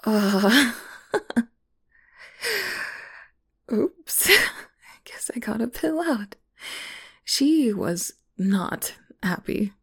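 Woman doing a whispery audio roleplay, breathy husky voice, slow and drawn out, high pitched. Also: expressive. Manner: whispering and moaning, teasing. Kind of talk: intimate scripted audio roleplay.

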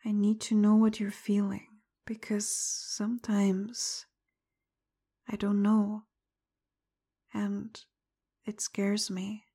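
A woman speaking straight to the listener, first frustrated and confrontational, then soft, apologetic and tender, much of it in a breathy whisper. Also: medium pitch, monotone, takes it slowly, long pauses. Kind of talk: roleplay audio addressed to the listener.

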